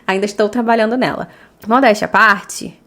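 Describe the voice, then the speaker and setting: Woman, Brazilian Portuguese accent, casual podcast chat, laughs often, middle pitch, close mic. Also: steady tone, measured pace, a bit bright. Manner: chatty, laughs often between points. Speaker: podcast host.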